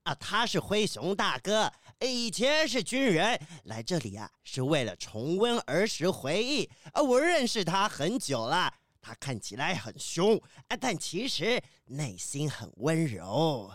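Excitable, swooping kiwi bird professor. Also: medium pitch, a little slow, few pauses, no room sound, studio quality. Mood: chatty, kindly. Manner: chatty, peppered with interjections, welcoming. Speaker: university teacher character in children's detective audio drama. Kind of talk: children's audio drama acting.

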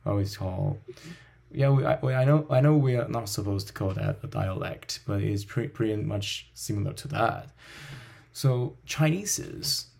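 A man from Taiwan who teaches language, hosting a casual conversation and speaking haltingly, often restarting and repeating words. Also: fairly low pitch, vocal fry, a little mellow.

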